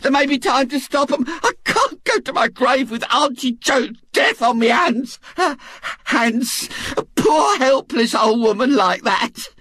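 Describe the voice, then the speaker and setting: A man's voice: very high-pitched, animated, unhurried, bright, forceful tone, breathy edge, no room sound, pitched above the performer's own voice, exaggerated voice. A man voicing a hysterical, tearful woman who shrieks and quavers. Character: hysterical working-class woman, loud and tearful.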